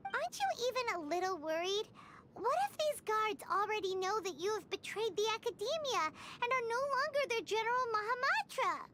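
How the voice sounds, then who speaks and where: A woman voicing a tiny, childlike, fretful fairy companion in a video game, squeaky and excitable. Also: normal speed, background noise. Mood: anxious, scolding. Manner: squeaky, excitable, refers to self by name. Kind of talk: scripted video game dialogue.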